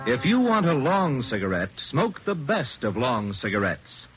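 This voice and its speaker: Man, commercial announcer, punchy salesman read, lively pitch, muffled vintage radio. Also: unhurried, over music. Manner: eager, punchy salesman delivery. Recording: muffled old radio broadcast.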